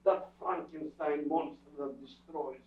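A man's voice: fairly high pitch, unhurried, close to an everyday voice. Man acting affected, whining artistic sponger. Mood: sulky, melodramatic. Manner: affected, languid complaining. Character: pretentious, whining male artistic hanger-on, melodramatic and sulky. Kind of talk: staged radio play reading. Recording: echoey stage, far mic, muffled.

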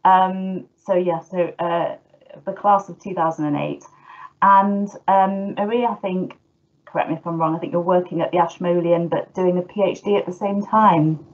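A woman's voice: lowish voice, fairly even intonation, moderate pace. Hesitant woman host on a muffled call. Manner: hesitant, many restarts. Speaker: host and former teacher. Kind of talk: online talk moderation. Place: indoors at home. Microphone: laptop video call. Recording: muffled video call audio.

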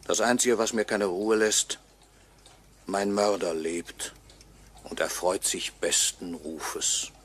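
An actor playing a wry, resigned murdered clock repairer who narrates in measured, sardonic phrases in a 1950s radio play. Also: male voice, low voice, a bit bright, slightly rough, full character voice. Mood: brooding, bitter. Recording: faintly noisy 1958 radio recording.

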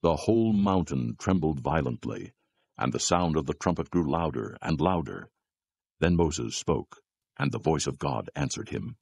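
A man narrating scripture from a script, with a gravelly voice and a measured, grave delivery. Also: very deep voice, animated, a little mellow, no room sound.

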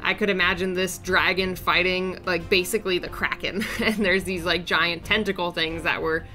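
A young woman talks through a drawing in a casual voice-over, her voice raspy and a little deeper because of a sore throat. Her tone is lowish yet bright and clear, and she rambles along in an upbeat, chatty way. Soft music plays faintly under her voice. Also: fairly even intonation, normal speed. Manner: chatty, upbeat, rambling with fillers and small laughs. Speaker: art channel host.

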